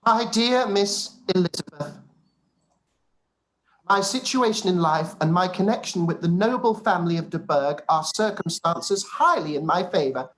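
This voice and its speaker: A man's voice: high voice, lively intonation, normal speed, fairly bright tone, poor audio. Pompous, long-winded young clergyman played by a man, self-satisfied and formal, for a period radio drama read. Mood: insistent, affronted.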